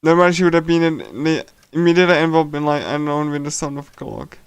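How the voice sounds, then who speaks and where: Higher-pitched man, halting livestream reader. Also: smooth, dry recording. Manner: halting, repeats and stumbles while reading. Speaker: streamer. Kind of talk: casual game livestream commentary and reading aloud. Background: game music.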